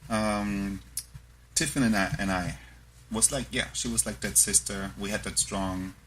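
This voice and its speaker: A man with an Austrian accent, a podcast guest talking in a halting, reflective way with many fillers and restarts. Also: lowish voice, steady tone, noisy background. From born in the US South, raised in Austria. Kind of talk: casual conversation.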